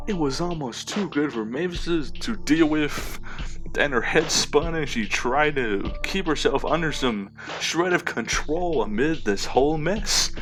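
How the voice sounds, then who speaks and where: A man narrating fiction aloud in an amateur style, now and then stumbling and repeating a phrase. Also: fairly high pitch.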